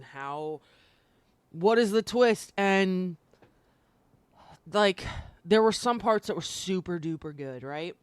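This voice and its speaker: Female streamer, slow-paced, dry close sound, informal and emphatic. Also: mid-range voice, no echo. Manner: informal, slangy, frequent swearing; opinionated, emphatic ranting. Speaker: live streamer. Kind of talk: casual chatty livestream monologue.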